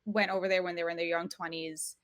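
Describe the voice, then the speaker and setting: A woman's voice: lowish voice, level tone, fast talker, fairly bright tone, dry recording, studio quality. A woman in her thirties from Portugal, a podcast guest chatting casually and often restarting her sentences. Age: 30s. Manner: chatty, self-correcting, laughs easily. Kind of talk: casual interview chat.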